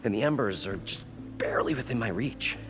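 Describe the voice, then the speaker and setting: A man's voice: mid-range voice, lively intonation, a little fast, natural voice. An actor playing an eager inventor and showman, with dramatic, flowery storytelling in a video game. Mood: troubled, determined. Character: eager, theatrical male inventor and showman chasing treasure. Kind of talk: video game cutscene acting. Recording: music behind the voice.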